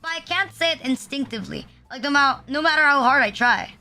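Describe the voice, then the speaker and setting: A woman's voice: sits high, lively intonation, a little fast, pushed and bright. A young woman from the Philippines chatting casually on a livestream, rambling with constant fillers and frequent swearing. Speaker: VTuber streamer.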